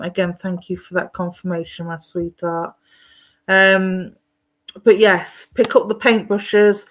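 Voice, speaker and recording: A woman giving a live-streamed psychic reading. She is gentle and reassuring, calls people darling and sweetheart, and rambles with ums and restarts. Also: on the low side. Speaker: psychic medium. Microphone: streaming setup at home.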